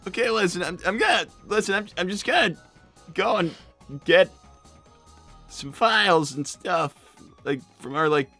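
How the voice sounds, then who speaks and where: A man's voice: high voice, animated, measured pace, long pauses, bright, forceful tone, faint music behind, full character voice. A man voicing a flustered, defensive young cartoon fox boy with a cracking voice and a stammering, exasperated manner, in an improvised comedy livestream. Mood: reluctant placating. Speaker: livestream co-host in character.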